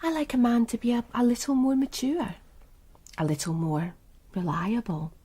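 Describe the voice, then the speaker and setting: A woman's voice: a little high, moderate pace, mellow, breathy edge. An actress playing a cool, calculating and seductive businesswoman in a radio crime drama, poised, guarded and knowing. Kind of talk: naturalistic radio drama dialogue.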